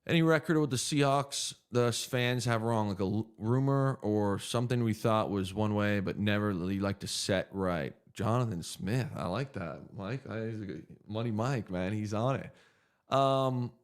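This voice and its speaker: A man's voice: lowish voice, moderate pace, smooth. A man in his thirties hosting a casual livestream Q&A, laid-back and joking as he thinks aloud through viewer questions. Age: 30s. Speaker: podcast host answering viewer questions.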